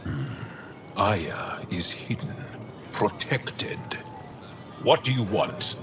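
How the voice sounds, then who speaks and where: An actor playing a gruff, suspicious alien resistance fighter in a video game, with a brusque, distrustful manner. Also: male voice, on the low side, sing-song, drawn-out words, heavily acted. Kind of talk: video game dialogue acting. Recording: game dialogue over noisy ambience.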